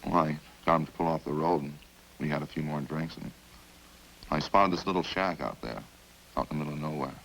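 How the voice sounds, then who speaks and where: A very deep, gravelly, rambling killer. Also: male voice, a little fast, fairly bright tone, full character voice, rough recording. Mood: matter-of-fact. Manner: casual, rambling, folksy, matter-of-fact. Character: chatty, hungry male killer calmly confessing over supper. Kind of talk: 1950s radio crime drama acting. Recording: hissy old-time radio recording.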